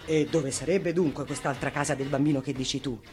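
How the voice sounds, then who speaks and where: A woman's voice: sits low, steady tone, brisk, a little mellow, faint music behind, close to an everyday voice. A woman voicing a stuffy grown-up policeman in a storybook, condescending, officious and lecturing. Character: stuffy, patronising adult policeman, insistent and exasperated.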